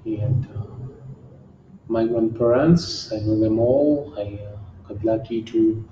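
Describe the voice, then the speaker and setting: A middle-aged man from southern France with a French accent, answering interview questions casually and stopping often to find English words. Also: on the low side. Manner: halting, searching for English words. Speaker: guest, kickboxing champion. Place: gym. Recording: echoey room, distant muffled mic, faint hiss.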